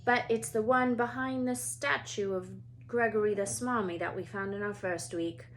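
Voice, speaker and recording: A woman's voice: medium pitch, moderate pace, slight background noise, close to an everyday voice, poor audio. A woman reading a storybook, voicing cheeky, chummy teenage twin brothers in an upbeat way.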